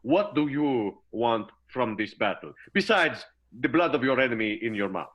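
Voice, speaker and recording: An actor playing an earnest, portentous interviewer in an improvised comic radio play. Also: male voice, mid-range voice, lively intonation, normal speed, a bit bright, highs cut off. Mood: dramatic, probing. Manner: theatrical, probing, portentous.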